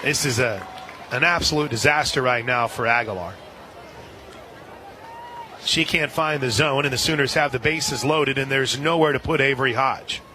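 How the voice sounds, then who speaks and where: A man doing live radio play-by-play of a softball game, slipping conversational asides in between pitch calls. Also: normal speed, pauses often. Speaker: play-by-play announcer. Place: outdoor softball stadium broadcast booth. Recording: loud crowd and outdoor stadium noise. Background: crowd noise.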